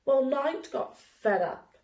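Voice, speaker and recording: A woman reading a children's picture book aloud in an expressive, deliberate storytelling manner. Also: sits high. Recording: roomy, echoey indoor sound.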